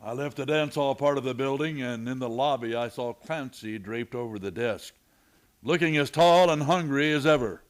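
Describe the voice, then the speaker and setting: An actor playing a steady, commanding, fair-minded frontier marshal and narrator in a western radio play. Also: male voice, medium pitch, moderate pace, lightly acted. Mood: measured narration. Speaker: lead character and narrator.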